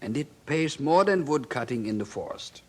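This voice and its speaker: A man's voice: medium pitch, animated, moderate pace, a little mellow, slightly rough. A man acting in a radio drama as a hardworking, poor miner father, earnest, hopeful and emphatic in his delivery. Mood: earnest, determined.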